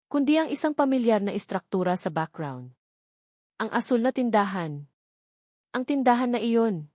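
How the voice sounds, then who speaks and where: A woman's voice: medium pitch, darkish tone, dry recording, clean studio sound. A woman with a Filipino accent reading a scripted romance story as a dramatic narrator.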